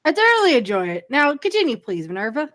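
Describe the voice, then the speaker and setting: A woman's voice: sits high, sing-song, fast talker, fairly bright tone. A woman playing an elderly male headmaster wizard, commanding yet genial, with formal, courteous phrasing in an amateur audio drama. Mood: playful.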